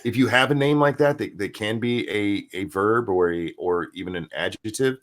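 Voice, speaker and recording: A man chatting casually in a blunt, deadpan way. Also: fairly low pitch, moderate pace. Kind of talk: casual chat.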